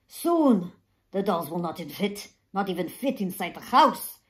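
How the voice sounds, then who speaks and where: A woman's voice: medium pitch, sing-song, moderate pace, a bit bright. A woman voicing an exasperated, dramatic old grandfather in a storybook, with theatrical exclamations and sighs.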